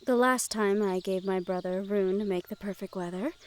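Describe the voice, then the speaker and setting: A woman playing a gentle, well-bred young noblewoman in a fantasy audio roleplay, speaking in polite, measured phrases directly to the listener. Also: mid-range voice, lightly acted. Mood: serene, thoughtful.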